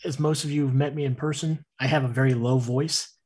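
A soft-spoken man, one of the players, chatting casually over a headset. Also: mid-range voice, fairly even intonation, fairly quick, husky. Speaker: player on the stream. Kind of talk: casual stream chat.

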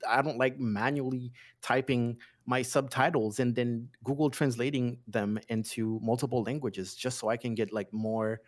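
A man's voice: mid-range voice, steady tone, normal speed, few pauses. A man, a podcast guest chatting casually, often restarting and repeating words. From Haiti. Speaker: podcast guest, streaming coach.

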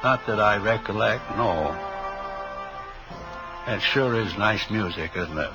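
A man's voice: on the low side, slow. An actor playing a folksy, pious reformed drug peddler in his fifties, rambling and easily distracted, in a 1950s radio drama. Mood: rambling, obliging. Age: 50s. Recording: old radio drama, music playing behind.

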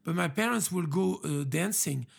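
A man's voice: fairly high pitch, lively intonation, normal speed, vocal fry. A man with a French accent, hosting a casual interview and talking with many hesitations and restarts. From France.

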